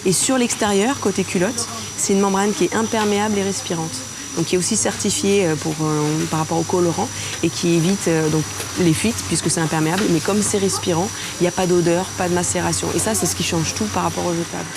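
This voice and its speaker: A woman interviewed in a TV report, explaining carefully with hesitant pauses. Her voice is on the lower side. Clear background noise runs behind her words. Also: fairly even intonation. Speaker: interviewee, maker.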